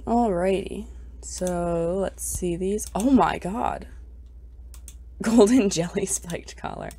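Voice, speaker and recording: A woman's voice: mid-range voice, slow, dark tone, breathy edge. A young woman with a congested, scratchy voice, chatting casually and gushing as she shops for items in a game. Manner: chatty and bubbly, gushing over cute items. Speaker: gaming YouTuber. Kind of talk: casual gameplay commentary.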